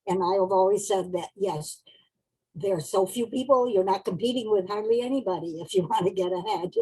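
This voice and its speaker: A woman's voice: mid-range voice, moderate pace, dark tone, slightly rough, poor audio. A woman talking informally about herself in a wry, chuckling manner. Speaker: meeting participant. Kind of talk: informal self-introduction.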